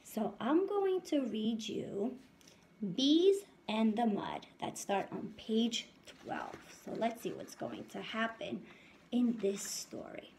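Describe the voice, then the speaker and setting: A woman in her thirties, a first-grade teacher reading aloud to young children and asking them questions in an animated, instructive way. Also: on the high side, slow, gravelly, a little hiss or hum. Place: indoors in a home room.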